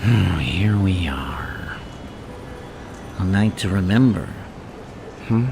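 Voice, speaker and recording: A man playing a flirtatious, menacing, unhinged villain in a gravelly half-whisper for an ASMR roleplay, slow and teasing with murmured hums. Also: low-pitched, lively intonation, background noise, exaggerated voice. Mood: mocking, menacing.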